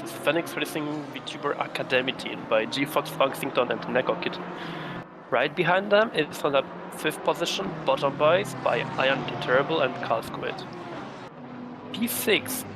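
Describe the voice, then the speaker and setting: A man's voice: a little high, vocal fry, background noise. A man commentating a sim race, reading out team standings and stumbling over driver names.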